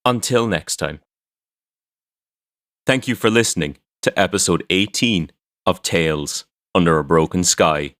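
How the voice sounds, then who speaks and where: A man with a fairly low voice hosts and narrates a fiction podcast. His delivery is scripted storytelling. The sound is dry, recorded indoors at a desk with little room echo. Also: a little slow, pauses often, fairly bright tone. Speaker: podcast host and fiction writer.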